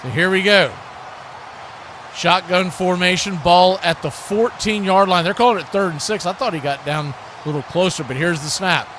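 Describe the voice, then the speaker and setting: An American man calling live football play-by-play in excitable, clipped phrases. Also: high voice, moderate pace, a little hiss or hum. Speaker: play-by-play announcer.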